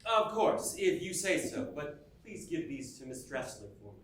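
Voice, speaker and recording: Guy playing creepy-polite insistent admirer, highish male voice, roomy stage recording. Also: big pitch swings, normal speed. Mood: ingratiating, insistent. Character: smooth-talking, insistent, obsessive male admirer. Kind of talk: theatrical acting in a staged musical reading. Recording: distant echoey stage sound, faint noise.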